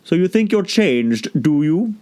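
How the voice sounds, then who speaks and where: A man voicing a haughty, languid caterpillar in an audiobook, drawling slowly and speaking with curt, dismissive contempt. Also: a little high, sing-song, warm tone, voice pushed up. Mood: condescending. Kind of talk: audiobook character voice.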